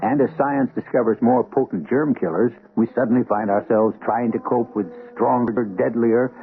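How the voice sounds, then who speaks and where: A man hosting a radio mystery show, narrating in a solemn, measured, theatrical style. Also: medium pitch. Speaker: host and narrator. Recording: tinny old radio broadcast with music.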